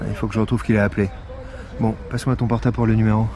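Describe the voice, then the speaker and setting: An actor playing a sardonic, pragmatic police investigator with a dry, matter-of-fact delivery in a naturalistic audio drama. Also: male voice, fairly low pitch, little pitch movement, very fast, a crowd in the background, lightly acted. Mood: businesslike. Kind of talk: naturalistic audio fiction dialogue.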